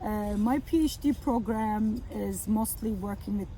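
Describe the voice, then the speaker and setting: A middle-aged woman from Turkey, a professor talking outdoors in a garden, speaking English with many fillers and pauses. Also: mid-range voice, warm tone, slight background noise, low-quality recording. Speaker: architecture professor. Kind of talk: outdoor conversation.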